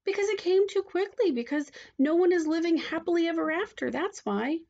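Woman doing a little kid in a storybook, high voice, eager n indignant, protesting everything. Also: a little fast, creaky, darkish tone, dull audio. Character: indignant, questioning little girl.

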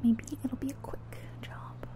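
A soft-spoken woman doing an ASMR roleplay as a friendly, chatty taxi driver, in a gentle, whispery delivery. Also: unhurried. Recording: faint background noise of game car sounds. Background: car sounds from a driving game.

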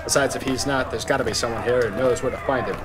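An actor playing a brash, sleazy veteran reporter, gruff, blunt and self-important, in a comic audio drama. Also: male voice, medium pitch, fast talker, music in the background, crowd noise, natural voice. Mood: blasé. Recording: clear urban background noise.

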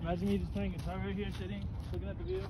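A man's voice: sits high, level tone, very fast, noisy background. A young man chatting casually with a friend on a hike, making offhand joking remarks. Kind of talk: casual chat.

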